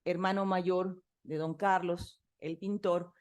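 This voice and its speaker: A woman's voice: fairly low pitch, level tone, slow pace, darkish tone. A woman with a Mexican Spanish accent, a former culture reporter, recounting history at a conference. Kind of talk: conference talk.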